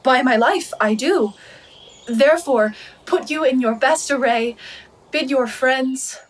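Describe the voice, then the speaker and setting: A young actress playing a quick-witted heroine in boy's disguise, with a fairly high voice. She delivers Shakespearean radio drama lines in a playful, articulate way. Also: recorded from a distance. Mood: earnest, assured. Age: young adult. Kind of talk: Shakespearean radio play acting.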